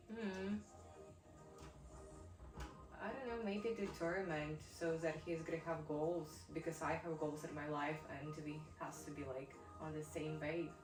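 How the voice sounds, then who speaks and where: A woman's voice: sits low, far from the mic. Young Ukrainian woman chatting, echoey room. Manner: thoughtful, hesitant, many fillers. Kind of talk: casual chat.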